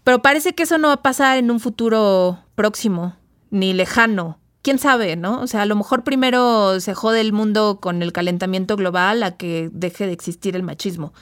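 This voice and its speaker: A woman's voice: medium pitch, normal speed, no room sound, clean studio sound. A Mexican woman hosting a feminist talk show, thoughtful and frank, with some swearing. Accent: Mexican Spanish. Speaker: host. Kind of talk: feminist discussion podcast.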